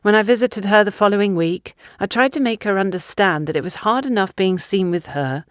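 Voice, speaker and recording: A woman's voice: medium pitch, a little fast, dry recording, studio quality. A woman reading scripted fiction as an audiobook narrator.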